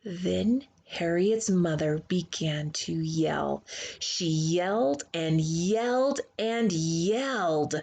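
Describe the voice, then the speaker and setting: A woman reading a children's storybook aloud expressively, with theatrical pauses and hushed emphasis. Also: fairly low pitch, gravelly, slightly rough. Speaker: children's book reader.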